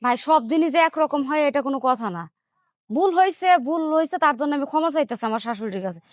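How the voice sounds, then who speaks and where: Woman as high-voiced, defensive young daughter-in-law. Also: lively intonation, fast, no room sound, studio quality. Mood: aggrieved. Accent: rural Bangladeshi Bengali. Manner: argumentative, self-justifying. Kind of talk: domestic drama acting.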